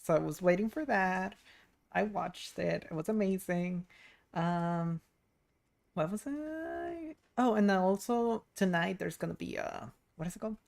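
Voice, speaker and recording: A woman's voice: fairly low pitch, expressive, measured pace, gravelly, a little mellow. A woman chatting casually and informally as she livestreams a game, rambling from one thought to the next. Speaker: VTuber game streamer. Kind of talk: casual livestream chat while playing a game.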